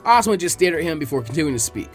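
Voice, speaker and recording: Male narrator, higher-pitched, lively intonation, scripted dramatic story reading, background music. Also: very fast. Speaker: fan fiction reader.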